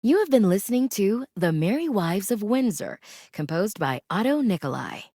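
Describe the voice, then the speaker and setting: An actress playing a cultured, gracious public radio host in a video game, presenting classical music in a measured, articulate and formal way. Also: female voice, mid-range voice, big pitch swings, warm tone, dry recording, clean studio sound. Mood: poised, informative.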